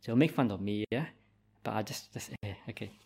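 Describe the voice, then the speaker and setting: Malaysian-accented monk giving a gentle talk. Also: male voice, lowish voice, creaky, slightly rough. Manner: gentle and halting, with frequent "uh" and "lah". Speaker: Buddhist monk. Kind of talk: informal dharma talk.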